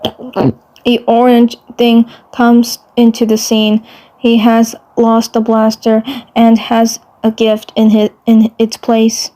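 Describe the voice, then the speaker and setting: A woman reads out fan fiction as narrator. Her voice is on the high side and her pace is slow, with halting phrases that she often repeats. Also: monotone, mellow, poor audio. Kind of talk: storytelling narration.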